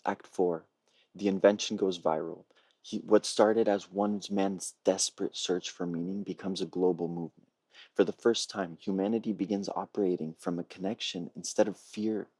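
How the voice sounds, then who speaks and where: A man giving a prepared film pitch on a video call in a measured, earnest storytelling way. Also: darkish tone, slightly rough, no room sound. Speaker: filmmaker pitching a feature film. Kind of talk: prepared pitch presentation, read narration.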